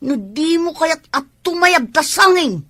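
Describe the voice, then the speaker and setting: An actress with a Filipino Ilocano accent playing a stubborn young tomboy in a family radio drama. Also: female voice, extremely high pitch, lively intonation, measured pace, a bit bright, dry recording, clean studio sound, exaggerated voice. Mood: defiant.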